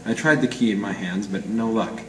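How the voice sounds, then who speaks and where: In an echoey room with faint noise, a hard-boiled 1940s radio detective, acted by a man, speaks in a lowish voice. He is clipped, wry and deadpan, with the slang of a noir gumshoe. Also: normal speed, far from the mic, lightly acted. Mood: grim narrating. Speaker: amateur stage actor. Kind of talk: 1940s radio noir acting with first-person narration. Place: live presentation in a roomy gallery.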